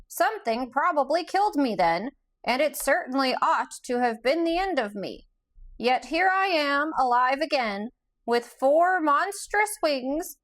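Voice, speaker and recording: A woman voicing a squeaky-voiced male creature, a pompous, gloomy flying thing that talks in a formal, mournful way. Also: a bit bright, full character voice. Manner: mournful, formal and long-winded.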